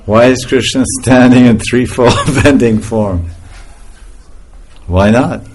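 A man's voice: medium pitch, takes it slowly, slight background noise. A man giving an informal spiritual talk to a group, laughing often as he tells stories. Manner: laughing often, animated storytelling.

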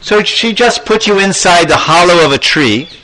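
A cocky young cowherd boy voiced by a man storyteller in a devotional tale. He mocks and taunts his playmate, with the pitch a little raised. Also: animated, moderate pace, sharp tone, poor audio. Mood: mock-explaining. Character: teasing young cowherd boys, mocking and cocky.

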